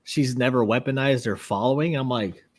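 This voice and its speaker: A man's voice: medium pitch, moderate pace. A man co-hosting a podcast in casual chat, blunt and profane, often repeating words as he starts sentences. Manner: stammering restarts, blunt and swearing. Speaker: podcast co-host.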